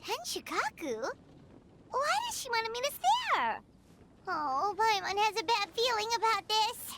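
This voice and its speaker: A woman voicing a small, excitable, suspicious childlike fairy companion in an animated video game. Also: very high voice, measured pace, heavy background noise, heavily acted. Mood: curious, wary. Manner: speaks of herself in the third person, exclamatory.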